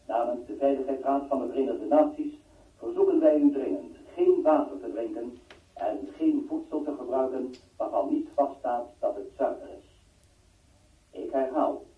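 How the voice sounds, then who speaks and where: Through a thin, phone-like radio filter, distant and echoing, comes an urgent male emergency announcer. He is formal and insistent, with strongly varied intonation, in a radio drama. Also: mid-range voice. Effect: radio broadcast filter. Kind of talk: formal emergency broadcast acting.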